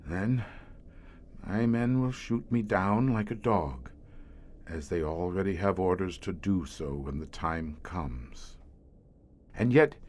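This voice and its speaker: A man's voice: fairly low pitch, darkish tone, heavily acted. An actor playing a haunted older police inspector in a radio horror drama, brooding and theatrical in his delivery. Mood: tormented, dreading. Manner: slow, brooding, grandiose and theatrical. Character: haunted, doomed older male tunnel police inspector, slowly turning monstrous.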